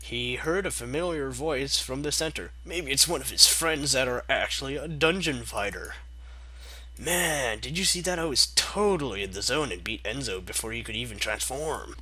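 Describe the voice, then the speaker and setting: A man's voice: mid-range voice, animated, normal speed, pushed and bright, husky, dry recording, poor audio. A young man reading a fan story aloud, breaking off for sarcastic, mock-exasperated asides. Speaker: fanfic reader. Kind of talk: comedic dramatic reading.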